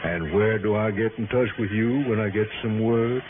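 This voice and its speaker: An actor playing a bungling, deferential police sergeant with an American accent in a 1960s radio drama, hesitant and apologetic. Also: male voice, lowish voice, moderate pace, close to an everyday voice. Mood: sheepish, apologetic. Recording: noisy, tinny 1960s radio recording.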